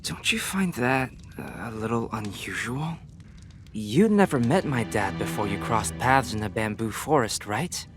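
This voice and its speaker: An American man playing an earnest, inquisitive teenage boy detective in a video game, talking in a measured, questioning way. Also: mid-range voice, lively intonation, over music, close to an everyday voice. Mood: doubtful.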